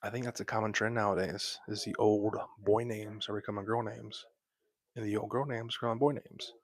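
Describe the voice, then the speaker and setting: A young man whispering softly into a close microphone while hosting a laid-back late-night livestream from bed at home. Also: fairly low pitch, steady tone, brisk. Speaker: livestream host.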